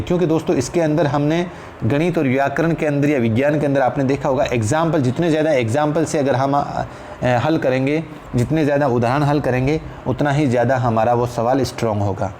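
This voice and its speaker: A man's voice: mid-range voice, brisk, darkish tone. Male Indian teacher with noisy background. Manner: repeats phrases for emphasis, addresses listeners as friends. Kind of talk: exam-prep lecture, explanatory. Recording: clear background noise.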